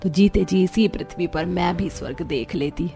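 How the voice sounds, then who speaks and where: A woman's voice: fairly low pitch, normal speed, warm tone, faint music behind, close to an everyday voice. A woman voicing a poor, middle-aged mother, a wistful dreamer in a cartoon.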